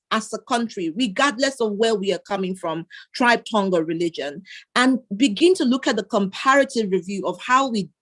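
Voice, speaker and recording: A young woman, a panelist speaking earnestly and often restarting her sentences. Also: medium pitch. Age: young adult. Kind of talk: panel discussion.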